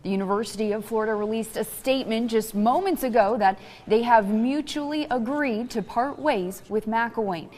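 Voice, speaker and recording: A woman's voice: on the high side, moderate pace, few pauses, darkish tone, big-room sound. A woman with an American accent reading scripted sports news as an anchor.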